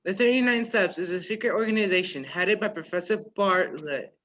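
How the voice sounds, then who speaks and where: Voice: mid-range voice, brisk, fairly bright tone, close to an everyday voice. A performer acting a precise music-hall memory man who recites facts formally in a radio play. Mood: showy, formal. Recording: dull lo-fi virtual recording.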